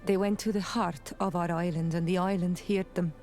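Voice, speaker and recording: A woman's voice: on the low side, fairly even intonation, moderate pace, darkish tone, soft background music, lightly acted. An actress playing a proud, loyal young warrior woman of a tribe in a video game cutscene, with solemn, formal and intense phrasing. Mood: solemn storytelling.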